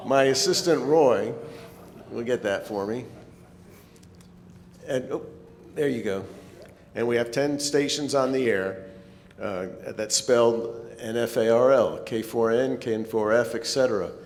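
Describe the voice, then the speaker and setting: A man's voice: measured pace, pauses often, a little mellow. Man presenting in an echoey hall. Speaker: club presenter. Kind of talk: informal club presentation. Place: meeting hall. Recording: echoey hall, rumbling background noise.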